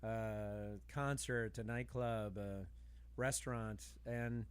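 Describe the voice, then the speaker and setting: A man's voice: on the low side, fairly even intonation, slow pace, clean tone. A man speaking as a podcast guest in a casual, conversational way, agreeing and riffing along with the other speaker.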